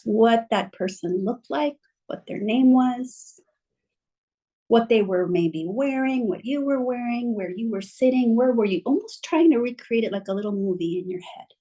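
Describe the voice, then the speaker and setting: A woman giving a talk by video call, slowly guiding listeners through a calm, soothing reflection. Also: on the high side, low-quality recording. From mother from Mexico, bilingual. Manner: gentle, slow, soothing guidance. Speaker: guest speaker.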